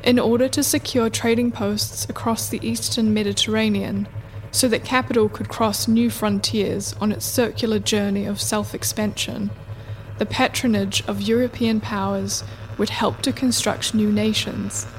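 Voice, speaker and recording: A woman reading scripted narration for a radio play in a measured, deliberate way. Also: medium pitch, fairly even intonation, rough recording.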